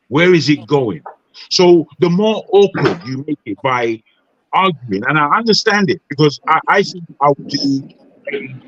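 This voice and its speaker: A Nigerian man on an online panel, speaking in a measured, advising way with frequent restarts. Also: fairly high pitch, dull audio.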